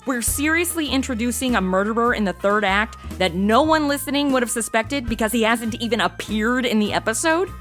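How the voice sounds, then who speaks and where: A woman's voice: on the high side, brisk, few pauses, fairly bright tone, faint music behind, natural voice. A woman playing a flippant, self-centered young American in a comedy audio drama, with slangy, sarcastic, exaggerated delivery.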